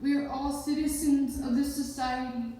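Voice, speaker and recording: Female poet, very mellow dark tone, measured phrasing, distant in an echoey hall. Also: high voice, fairly even intonation. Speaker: slam poet. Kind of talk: spoken-word poetry performance. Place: echoey indoor event space, live performance. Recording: very echoey room, distant, faint noise.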